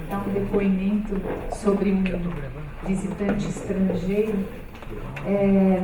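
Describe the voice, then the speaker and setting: A woman's voice: medium pitch, noisy background. A woman moderating a seminar panel on a stage, talking casually with many fillers and restarts. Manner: hesitant, many fillers, conversational. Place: large hall with stage. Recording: echoey hall, far from mic.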